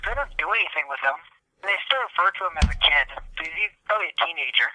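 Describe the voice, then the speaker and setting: A man's voice: a little high, lively intonation, fast talker, sharp tone, a little hiss or hum, low-quality recording. A man co-hosting a podcast, chatting casually about games with a sarcastic, teasing manner. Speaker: podcast co-host. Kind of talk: casual gaming chat.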